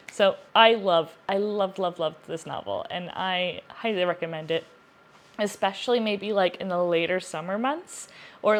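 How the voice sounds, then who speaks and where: A woman with a mid-range voice talks casually to her viewers as a book reviewer. Her delivery is chatty and earnest, and she often repeats a word to stress it. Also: mid-range voice. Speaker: book reviewer, video host.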